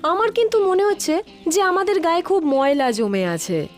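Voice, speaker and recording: A woman's voice: extremely high pitch, lively intonation, normal speed, faint music behind. A woman voicing a sly, chatty fox in a children's cartoon, with an exaggerated sing-song delivery. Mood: eager.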